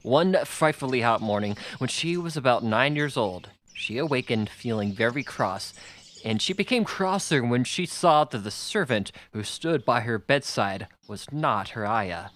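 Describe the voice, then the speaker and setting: A man's voice: medium pitch, lively intonation, a little slow, fairly bright tone. A man reading a children's novel aloud as scripted audiobook narration. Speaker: audiobook reader.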